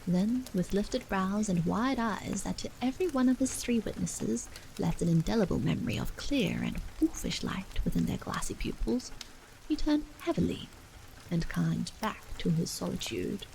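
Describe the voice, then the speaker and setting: A woman's voice: medium pitch, normal speed, gravelly, a little hiss or hum, poor audio. A woman reading a literary bedtime story aloud in an ASMR style. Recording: steady rain sounds behind the voice. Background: rain.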